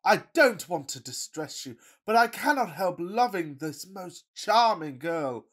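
Male actor as a self-regarding lovestruck gentleman, pompous, melodramatic, very lively pitch, farcical radio comedy. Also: unhurried, heavily acted. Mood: gushing. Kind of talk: farcical radio play acting.

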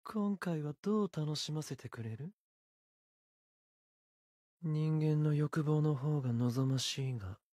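An actor playing an arrogant, seductive serpent god in a game, speaking in a haughty, deliberate, condescending way. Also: male voice, medium pitch, darkish tone, dry recording, studio quality. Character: arrogant, seductive serpent god who toys with human desires. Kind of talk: Japanese game character voice lines.

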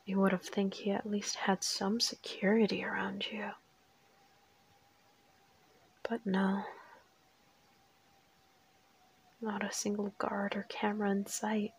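A woman performs a crazed female assassin holding a captive in an audio roleplay. Her voice is whispery and husky with a gravelly fry. She keeps a narrow, controlled pitch, taunting and threatening without any hurry. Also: monotone. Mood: smug, taunting. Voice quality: breathy, whispery. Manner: taunting, unhurried, coolly threatening. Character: crazed, teasing, menacing female assassin kidnapper.

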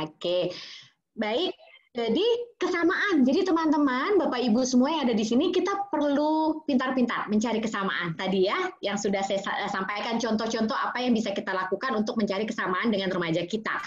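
A woman with an Indonesian accent, a child psychologist giving an interactive parenting webinar, switching between English and Indonesian with many fillers. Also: on the high side. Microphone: video call. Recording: muffled video call audio.

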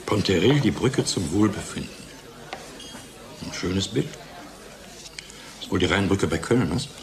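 A man's voice: deep voice, animated, unhurried, noisy background. Giddy, swooping male drug-company colleague. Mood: ironic admiration. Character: giddy male pharmaceutical colleague, high on his own pills. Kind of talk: radio play acting.

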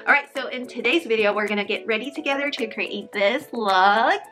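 A young woman talking casually to camera in her bedroom while doing her skincare, chatty and bubbly, her voice slightly hoarse. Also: a little high, fairly quick, fairly bright tone. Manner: chatty and bubbly, repeats words. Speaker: beauty vlogger and teacher. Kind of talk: casual get-ready-with-me chat. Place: bedroom with dresser and fairy lights. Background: background music.

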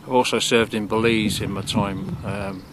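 Man in his 40s, low flat voice, halting, outdoor noise around him. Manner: hesitant, fillers and restarts. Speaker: charity cyclist, serviceman. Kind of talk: on-camera interview. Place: outdoors at an airbase. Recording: outdoor wind and traffic noise.

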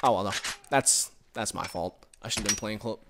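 A man giving casual, rambling commentary while playing a game, recorded on a headset and reacting loudly to the action. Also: on the low side, a little fast, slight background noise. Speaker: gaming commentator.

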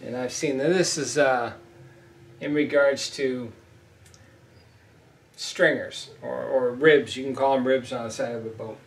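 A man's voice: mid-range voice, fairly even intonation, measured pace, long pauses, recorded from a distance. A middle-aged man teaching ship fitting at a whiteboard, blunt and emphatic, repeating points as he talks through the steps. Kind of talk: whiteboard welding lesson. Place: small indoor room at a whiteboard. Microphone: camera mic from a few feet away. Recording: roomy echo, voice off-mic.

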